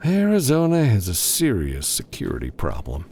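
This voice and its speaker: A man's voice: fairly low pitch, wildly swooping pitch, normal speed, dark tone, a little hiss or hum. A man voicing a weary, sardonic space cowboy loner in an audiobook, grumbling dryly. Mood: dry, disbelieving. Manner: grumbling, dry.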